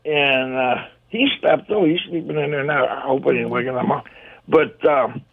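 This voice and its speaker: An older man with a gruff voice calling in to a radio show, chatting in a rambling, folksy way. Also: medium pitch, normal speed. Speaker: caller. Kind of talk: casual phone-in chat. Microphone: telephone. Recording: tinny phone line.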